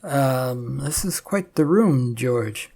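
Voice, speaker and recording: Narrator as a husky, nervously stammering skeptic man. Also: medium pitch, lively intonation, slow pace, warm tone, full character voice. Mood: awkward. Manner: hesitant, stammering, repeats words. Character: Rufus, nervous, awkward, flustered skeptical man.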